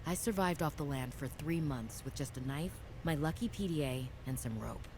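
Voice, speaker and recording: Woman scientist, game character, wry, determined, slightly low pitch, faint ambient noise. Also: animated, moderate pace. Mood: reflective. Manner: wry, conversational. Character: young woman xenobiologist explorer, wry and determined. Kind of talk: game voice acting, inner monologue.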